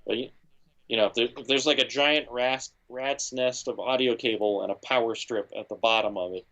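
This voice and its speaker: A man's voice: mid-range voice, fairly even intonation, moderate pace, bright, forceful tone. Laid-back man, full of "you know". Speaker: guest audio engineer. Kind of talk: casual interview talk.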